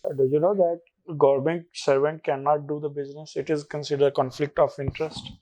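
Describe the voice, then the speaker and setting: A man's voice: on the high side, level tone, brisk, a little mellow. A man on an interview panel, asking brief probing questions. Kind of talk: mock job interview questions.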